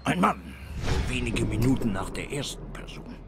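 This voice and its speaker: Grumbling old vagrant, swinging pitch. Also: male voice, very animated, moderate pace, music in the background. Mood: wry, secretive. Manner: sardonic, grumbling. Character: blind, scruffy, prickly homeless goat man. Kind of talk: video game dialogue. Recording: clear background noise.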